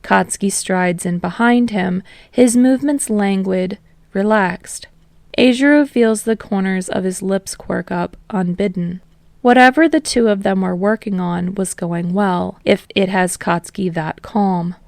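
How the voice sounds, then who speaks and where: A woman's voice: medium pitch, unhurried, creaky, darkish tone, no echo. A woman reading fiction aloud as a scripted story narrator.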